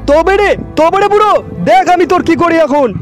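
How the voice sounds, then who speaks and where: A man voicing a quick-tempered village snack seller in a comedy cartoon. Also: music in the background. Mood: angry. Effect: pitch-shifted cartoon voice.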